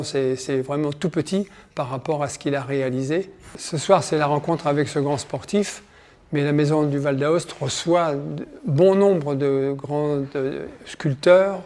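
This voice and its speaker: An older man giving spontaneous interview remarks in a halting way, repeating words as he searches for them. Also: a little high, darkish tone. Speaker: guest at an evening event.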